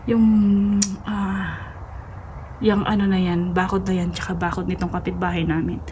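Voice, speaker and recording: A woman with a Filipino accent chats casually to viewers as she gives a house tour, halting now and then and mixing in English words. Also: fairly low pitch, level tone, a little mellow. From Philippines. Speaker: vlogger, homeowner giving a house tour. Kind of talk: casual vlog chat to viewers. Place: walking through a large house basement and outdoors in snow. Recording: noisy handheld recording on the move.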